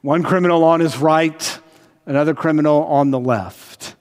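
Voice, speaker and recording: An older man preaching a sermon as a pastor, conversational and full of rhetorical questions, slowing for emphasis on key phrases. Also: fairly high pitch. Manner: conversational, retelling with rhetorical questions and tag "right?". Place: large indoor church sanctuary. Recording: reverberant church hall sound.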